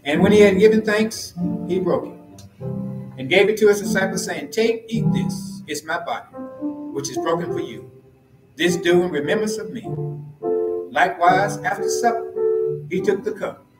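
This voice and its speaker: A man serving as pastor, reciting a communion liturgy in a slow, solemn, measured way from the pulpit of a church sanctuary. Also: high voice, over loud music. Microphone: pulpit mic from far camera. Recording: roomy church echo.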